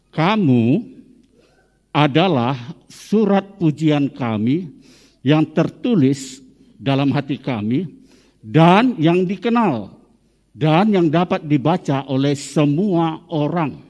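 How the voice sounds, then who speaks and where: A Medan-accented man in his fifties preaches to his congregation, slow and deliberate, then swelling loud and high at the key lines. His tone is soft and mellow. The church hall gives his voice a long, lingering reverb. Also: lively intonation, highs cut off. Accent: Medan Indonesian. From Medan, North Sumatra, Indonesia. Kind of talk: sermon to a congregation.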